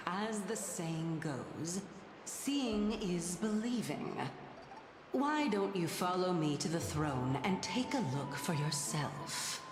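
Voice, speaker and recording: A woman's voice: on the low side, animated, takes it slowly. A woman playing a mysterious, teasing sage in a video game, unflappable and coy, with languid theatrical delivery. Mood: coy, amused. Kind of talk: video game character acting. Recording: echoey long reverb under clear music.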